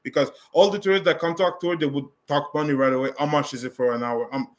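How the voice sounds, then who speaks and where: A man's voice: a little high, fairly even intonation, quick pace. Man chatting casually. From Canada. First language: French. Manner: loose and conversational, with frequent restarts. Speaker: vlogger. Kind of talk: casual chat answering viewer questions.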